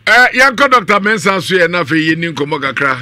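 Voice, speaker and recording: A man's voice: high voice, sing-song, a little fast, bright, forceful tone, dry recording. A middle-aged man hosting a radio interview, speaking into a studio microphone. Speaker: radio host. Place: small indoor radio studio. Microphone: studio boom microphone with headphones.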